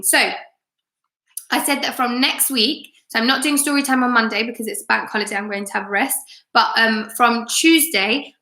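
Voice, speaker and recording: A woman teacher hosting a chatty live story time for children, expressive and encouraging as she speaks directly to her viewers. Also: a little high. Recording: echoey room.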